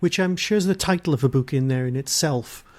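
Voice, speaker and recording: Man with a slightly high voice. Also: fairly quick. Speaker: podcast host, interviewer. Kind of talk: casual conversational interviewing.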